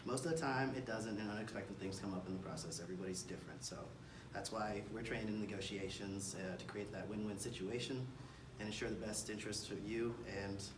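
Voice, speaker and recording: An American man from Kansas City giving a seminar presentation as a real estate agent, speaking haltingly with frequent pauses. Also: fairly low pitch. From Kansas City, United States. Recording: distant mic, roomy echo, clear background noise.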